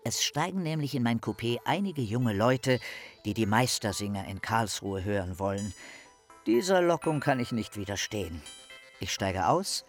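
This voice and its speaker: A man's voice: mid-range voice, lightly acted. An actor playing a formal, touchy young male scholar in a German radio play, with precise literary diction. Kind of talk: German radio play, letter and diary reading.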